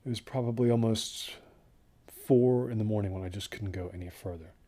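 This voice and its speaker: A man acting as a haunted, unravelling desert hiker who recounts his trek in a halting, introspective monologue with a husky voice. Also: fairly low pitch, mellow, natural voice. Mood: uneasy, haunted. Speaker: first-person narrator of a horror audio drama. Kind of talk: confessional dramatic monologue.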